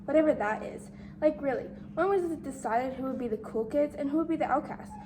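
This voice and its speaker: A woman's voice: high voice, brisk, background noise, lightly acted. A woman playing a shy, insecure, bookish teenage outcast in an amateur dramatic monologue. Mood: wry, frustrated.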